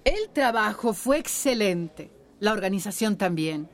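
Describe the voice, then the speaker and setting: A woman's voice: medium pitch, animated, unhurried. A woman in a radio drama playing an enthusiastic, principled villager among neighbours. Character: enthusiastic, peace-minded woman villager praising her neighbours.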